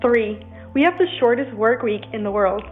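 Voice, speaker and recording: A woman's voice: on the high side, animated, normal speed, phone-quality sound, faint music behind. A woman from Denmark listing points in a matter-of-fact way. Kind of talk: social media explainer.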